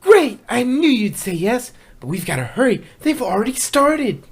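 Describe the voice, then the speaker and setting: A woman voicing an excitable young cartoon pony mare, chirpy, eager and emphatic. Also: fairly high pitch, normal speed, slightly rough, full character voice. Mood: eager, coaxing.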